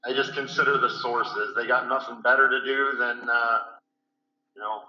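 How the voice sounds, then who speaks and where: A man's voice: medium pitch, fairly even intonation, moderate pace, a little hiss or hum. A man on a video call who recounts events casually and hesitantly, with frequent fillers. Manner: hesitant, many fillers, plainspoken. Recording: roomy, distant, lo-fi call audio.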